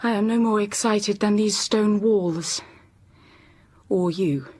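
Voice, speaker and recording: A woman's voice: medium pitch, slow pace, a little mellow. A woman playing a timid but steely young schoolteacher in a period radio drama, speaking formally and precisely and narrating her own story. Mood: cool, resolute.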